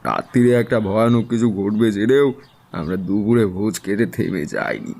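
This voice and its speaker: An actor playing a seasoned, middle-aged tantric astrologer, reminiscing in a creaky, reflective way as in a dramatised audiobook. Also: male voice, on the low side, normal speed, a little mellow, in a large hall. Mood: musing. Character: Taranath, seasoned Bengali tantric astrologer, easygoing storyteller.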